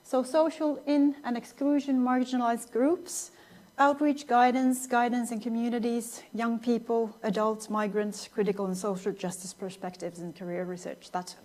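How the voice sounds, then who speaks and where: A woman's voice: on the high side, level tone, normal speed, a little mellow. A woman in her thirties from Finland, a non-native speaker giving a thoughtful academic lecture with many restarts and fillers. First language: Finnish. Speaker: guest lecturer.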